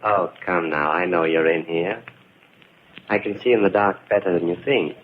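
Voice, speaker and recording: An actor playing a deranged, mock-polite murderer in a 1940s radio mystery, gloating and cackling with menace. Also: male voice, sits low, steady tone, normal speed, slight background noise, close to an everyday voice. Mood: eerily courteous menace. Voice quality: maniacal cackling, swooping delivery. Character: deranged, gloating murderer haunting his brother's tomb. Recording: muffled vintage 1940s radio recording.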